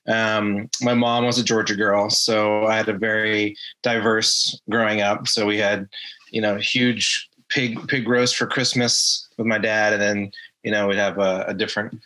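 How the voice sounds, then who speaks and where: Guest man, low pitch, crisp bright tone, rambling casual story. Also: measured pace, rough recording. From Atlanta, USA. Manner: relaxed, rambling with many ums and restarts. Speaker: guest, craft brewer.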